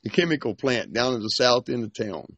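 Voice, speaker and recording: A man's voice: medium pitch, expressive, a little fast, fairly bright tone, slightly rough, no room sound. A man from Georgia telling plain stories in casual chat.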